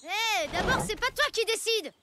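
A woman voicing a sweet, plucky little caveboy in a cartoon, earnest and expressive. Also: very high voice, normal speed, a crowd in the background, noisy background. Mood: defiant. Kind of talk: French cartoon dubbing.

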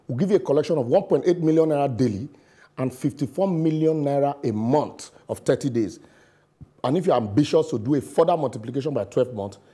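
A middle-aged man with a Nigerian accent reads his opinion piece to a studio camera. His voice is mellow and a little husky, and he leans on words with sarcasm and pidgin asides. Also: medium pitch. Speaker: commentator. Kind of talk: scripted opinion commentary. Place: television studio.

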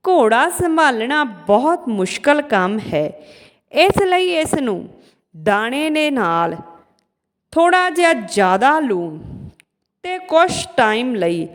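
Slow, high-voiced woman storyteller with long reverb. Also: very animated. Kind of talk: scripted folk-tale narration, reading dialogue aloud.

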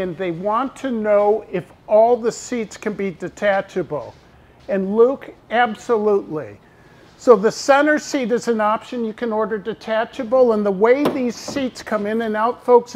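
A man's voice: high-pitched, noisy background. Enthusiastic man presenting canoes. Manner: enthusiastic, salesy, addresses viewers and staff by name. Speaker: company owner presenting boats. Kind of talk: product launch presentation.

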